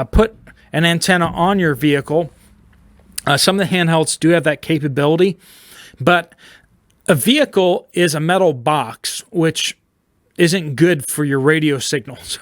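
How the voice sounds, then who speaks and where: An American man with a slightly high voice walks through gear in an informal explainer. His phrasing is halting, with frequent check-ins to the listener. The sound is close and dry, with no room echo. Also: fairly bright tone. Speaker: host of a preparedness video channel. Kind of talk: informal explainer, product walkthrough.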